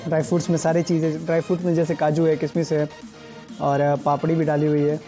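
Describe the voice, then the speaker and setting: A young man with a Bihari Hindi accent doing a casual, enthusiastic food review in a restaurant, talking fast with lots of fillers. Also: fairly high pitch, flat delivery. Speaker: food vlogger. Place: restaurant indoors. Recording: loud background music.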